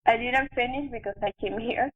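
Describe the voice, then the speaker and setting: High-voiced young Venezuelan woman, thin audio. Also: narrow, tinny audio. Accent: Venezuelan Spanish. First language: Spanish. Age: young adult. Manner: halting, filler-heavy, conversational. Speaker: podcast guest, actress and chef. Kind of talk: casual interview storytelling.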